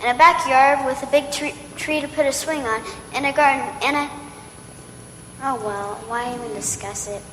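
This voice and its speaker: A woman's voice: sits high, normal speed, a bit bright. A female performer playing a wistful, doubting little girl in an old-time radio play, earnest and plain-spoken. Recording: echoey hall, faint noise.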